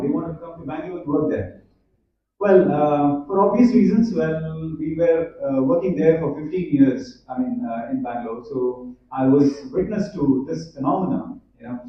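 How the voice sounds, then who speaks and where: A man with an Indian English accent gives a chatty conference talk. He often pauses on fillers and asks the students questions. His fairly high voice sounds far off and dull in a very echoey hall. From Hyderabad, India. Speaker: conference speaker. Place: large echoey college hall.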